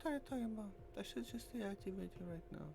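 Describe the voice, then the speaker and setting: A woman's voice: mid-range voice, lively intonation, fast, vocal fry, noisy background. A woman playing a blunt, weary female squad leader in a visual novel. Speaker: reader of a game character's lines. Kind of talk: acted reading of visual novel dialogue.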